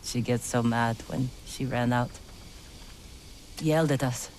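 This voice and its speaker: An actress playing a tough, guarded, fiercely protective older sister in a video game, speaking bluntly and tersely. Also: female voice, rumbling low, steady tone, measured pace, darkish tone, slight background noise, rough recording. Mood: subdued, reflective. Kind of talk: dramatic game cutscene dialogue. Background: music.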